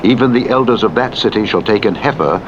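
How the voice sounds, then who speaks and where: Deep-voiced man reading scripture over loud rumble. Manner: measured, formal, deliberate phrasing. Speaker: audiobook narrator reading scripture. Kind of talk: solemn scripted Bible narration. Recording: muffled radio-like audio under loud truck noise. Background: loud vehicle rumble from a truck driving game.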